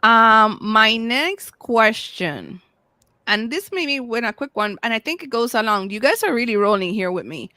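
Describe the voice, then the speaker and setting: A woman moderating a panel discussion, asking questions in a measured, unhurried way. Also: on the high side, lively intonation, sharp tone, no echo, studio quality.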